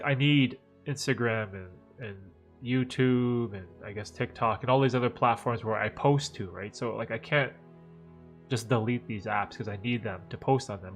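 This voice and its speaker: A man in his thirties, a bit congested and nasal, rambles easily through viewer questions on a live stream, tossing in "like" and "right?" all the time. Soft music plays faintly under his voice. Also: medium pitch. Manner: relaxed, rambling, heavy use of "like" and "right?". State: stuffed up, slightly nasal from dust allergies. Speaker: livestream host answering viewer questions. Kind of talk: casual live Q&A chat.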